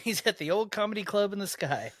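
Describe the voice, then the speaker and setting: A man's voice: high-pitched, expressive, fast talker, a bit bright. A man in a fantasy football league, laughing a lot and making crude jokes in casual podcast banter.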